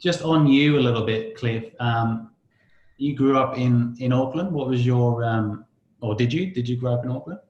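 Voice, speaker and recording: A man's voice: mid-range voice, normal speed, a little mellow, dull audio. A man hosting a casual interview in a rambling, dryly joking way. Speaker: podcast host. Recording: roomy, echoey sound.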